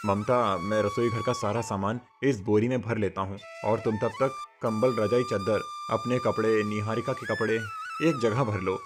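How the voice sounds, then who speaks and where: Kind village dad played by a man, concerned and humble, lively intonation, cartoon tale with light music. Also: on the low side, normal speed, hardly any pauses. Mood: resigned. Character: kindly humble village farmer, caring father. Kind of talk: Hindi moral cartoon story dubbing.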